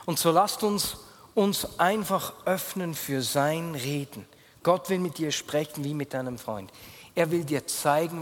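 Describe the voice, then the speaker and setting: Swiss German pastor in a reverberant hall. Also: male voice. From Switzerland. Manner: earnest, addresses listeners directly, voice drops low in prayer. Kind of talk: sermon.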